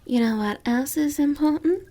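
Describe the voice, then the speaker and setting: A woman playing a sweet, comforting young farmer's daughter with a Southern US accent in a gentle, folksy ASMR roleplay. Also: high-pitched, moderate pace, natural voice. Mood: teasing. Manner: gentle, folksy, reassuring, giggly. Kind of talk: ASMR roleplay, intimate and slow.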